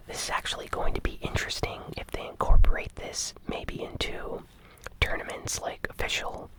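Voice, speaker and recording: A host giving ASMR gaming news commentary in a breathy, unvoiced whisper, soft, unhurried and informal. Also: low-quality recording.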